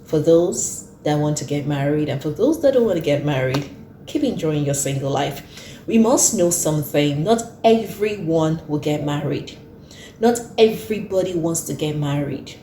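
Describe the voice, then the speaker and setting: A woman's voice: low-pitched, expressive, moderate pace, warm tone, reverberant, a little hiss or hum. A woman in her thirties hosting an earnest talk, who repeats her points for emphasis. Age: 30s. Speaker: host. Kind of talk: casual talk to camera.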